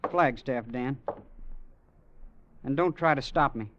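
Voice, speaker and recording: Actor as Ben, terse young gunslinger. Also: male voice, mid-range voice, slow pace, natural voice. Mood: terse, determined. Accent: American. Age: young adult. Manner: terse, stubborn, menacing restraint. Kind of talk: 1950s radio drama acting. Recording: muffled old radio recording.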